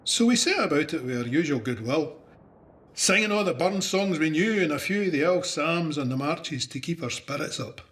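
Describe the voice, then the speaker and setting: A man's voice: fairly high pitch, lively intonation, normal speed, a bit bright, a little hiss or hum. An actor playing a plain-spoken Ayrshire farmer and war veteran, telling his memoir in a reflective, conversational Scottish voice. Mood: steady recollection. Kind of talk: dramatised first-person memoir narration.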